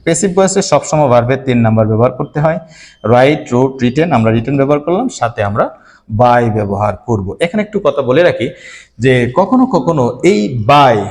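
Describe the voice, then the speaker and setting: A man from Bangladesh teaching English grammar, addressing his students directly and repeating each rule step by step. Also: mid-range voice. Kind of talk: classroom grammar lesson to students.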